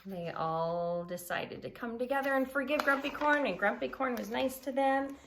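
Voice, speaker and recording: A woman's voice: a little high, moderate pace, a bit bright, slight background noise. A woman reading a children's story aloud expressively and explaining it simply as a teacher would.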